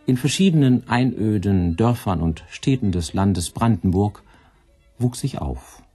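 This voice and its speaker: A man's voice: fairly low pitch, lively intonation, a little slow, mellow, husky, soft background music. A man reading a scripted autobiographical text.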